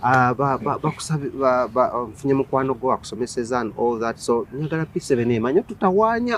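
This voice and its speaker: A man's voice: mid-range voice, fast, a little hiss or hum. A Ugandan man hosting a podcast interview, chatting casually and switching between Luganda and English, often filling with "mm" and "uh" and repeating words. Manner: relaxed, frequent "mm" and "uh", repeats words. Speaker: podcast host.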